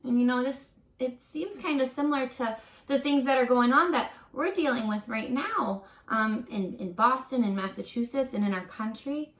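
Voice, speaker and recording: A woman teacher reading a picture book aloud to young children, expressive and encouraging, pausing for chatty asides and questions. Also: high-pitched. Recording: roomy echo.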